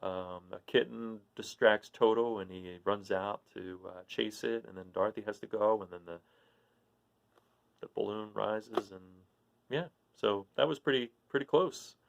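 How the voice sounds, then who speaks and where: A man's voice: on the low side, measured pace, creaky. A man hosting a read-aloud series, narrating a storybook in the role of storyteller. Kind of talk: storybook narration with informal asides.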